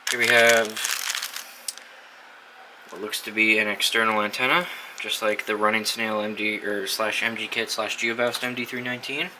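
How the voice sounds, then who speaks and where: A young man with a fairly low voice hosts a casual unboxing video. He talks in an offhand, wry way with casual swearing. The recording is very noisy. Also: level tone, normal speed. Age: young adult. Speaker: hobbyist video host.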